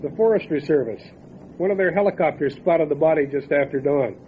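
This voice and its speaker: A man's voice: a little high, a little fast, lightly acted. A man playing a cynical, world-weary sheriff's lawman in a radio drama, wry, folksy and matter-of-fact. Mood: wry, dismissive. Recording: tinny phone-like band, noisy outdoor ambience.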